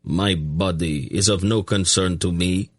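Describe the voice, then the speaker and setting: A man's voice: low voice, fairly even intonation, unhurried, slightly rough, no room sound, studio quality. A man voicing a defiant, contemptuous male prisoner in a storybook. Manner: contemptuous, sneering.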